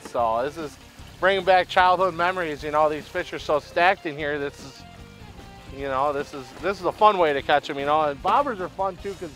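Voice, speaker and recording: A man with a slightly high voice chats while fishing from an open boat on a lake. His talk is easy-going and full of fillers. Faint music sits underneath. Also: a little fast, a bit bright, rough recording. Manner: chatty, many fillers. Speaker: angler on a fishing show. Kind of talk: casual chat while fishing.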